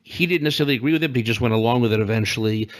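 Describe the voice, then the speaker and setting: A man's voice: mid-range voice, rapid-fire. A man co-hosting a podcast with casual, joking political commentary full of teasing asides. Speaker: podcast co-host.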